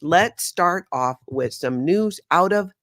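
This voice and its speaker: A woman's voice: on the low side, expressive, takes it slowly, fairly bright tone, no echo. A middle-aged woman from Hawaii hosting a casual live stream from her home studio, bubbly and chatty, often rambling off topic. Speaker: host.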